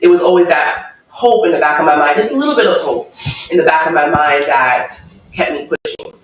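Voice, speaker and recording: A woman guest talking about her life, earnest and thoughtful, pausing between her thoughts. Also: deep voice, expressive, noisy background. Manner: earnest, thoughtful, measured with pauses. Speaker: interview guest. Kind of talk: reflective personal testimony. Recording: echoey room, mic far off.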